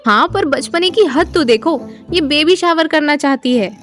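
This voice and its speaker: A woman playing a caring, worried, traditional wife who gently scolds in an audio drama. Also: high voice, expressive, unhurried, soft background music. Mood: fretful scolding.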